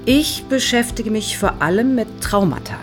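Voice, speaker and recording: An actress playing an eager, probing middle-aged research psychologist who explains politely in an audio drama. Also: female voice, on the high side, expressive, normal speed, over music, lightly acted. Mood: polite, explaining. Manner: polite, explanatory, keenly interested. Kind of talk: naturalistic audio drama dialogue.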